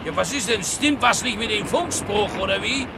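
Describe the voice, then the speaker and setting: A man's voice: high-pitched, expressive, moderate pace, vocal fry, noisy background. An actor playing a meek, folksy ship's helmsman, deferential but slyly cheeky, in a satirical radio play. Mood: dutiful, concerned. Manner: deferential, colloquial.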